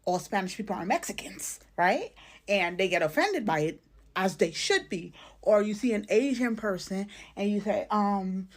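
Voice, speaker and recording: A woman's voice: mid-range voice, animated, moderate pace. Caribbean woman, emphatic explainer. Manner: emphatic, explanatory, rhetorical tag questions. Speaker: content creator. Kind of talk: talking to camera, opinion.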